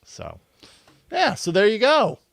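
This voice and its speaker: A man's voice: high-pitched, sing-song, slow, fairly bright tone, dry recording, clean studio sound. A man talking casually and thoughtfully as a podcast co-host, rambling a little as he works through his ideas. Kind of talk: casual conversational tech podcast talk.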